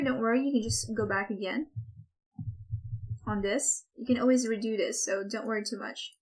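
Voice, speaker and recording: A woman teaching an online software course, talking casually with lots of "like" and "kind of", her voice a little hoarse from recording too much. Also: fairly high pitch. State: losing her voice, a bit hoarse. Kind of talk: screen-recorded software tutorial.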